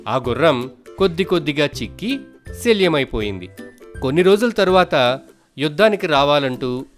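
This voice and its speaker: Animated male storyteller over soft music. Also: fairly high pitch, moderate pace. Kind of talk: scripted narration of children's moral stories.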